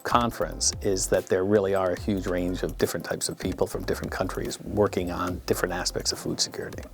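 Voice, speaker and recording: A man with a very deep voice that has a heavy gravelly edge. He speaks in a measured, explanatory way as a conference expert, laying out his points one by one. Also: steady tone, soft background music. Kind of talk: interview remarks.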